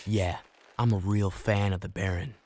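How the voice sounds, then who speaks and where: A man's voice: lowish voice, fairly even intonation, normal speed, a little mellow, a little hiss or hum, natural voice. An actor playing a blunt, direct young male hero in a video game cutscene. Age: young adult.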